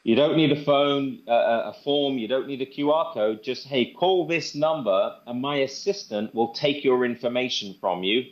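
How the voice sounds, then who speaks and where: A man giving an informal product demo and sales pitch, conversational and repeating phrases for emphasis. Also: normal speed, few pauses. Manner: conversational, repeats phrases for emphasis, acts out sample phone calls. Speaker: presenter demonstrating software to a group. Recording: dull, band-limited sound.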